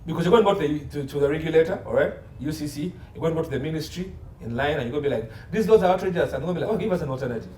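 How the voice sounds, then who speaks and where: A man's voice: fairly high pitch, animated, racing, hardly any pauses, darkish tone. A man giving opinionated interview commentary as an expert guest, assertive and emphatic, fond of repetition and rhetorical questions. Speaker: guest expert, entertainment law consultant. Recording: roomy echo, faint background noise.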